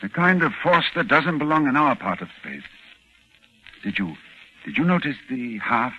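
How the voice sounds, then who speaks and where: An actor playing a learned, grave professor in an old radio horror play, speaking dramatically with halting, portentous phrases. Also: male voice, mid-range voice, animated, pushed and bright. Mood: shaken, solemn. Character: learned, grave male professor leading a fight against a monster. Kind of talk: 1940s radio acting. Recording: dull vintage radio recording.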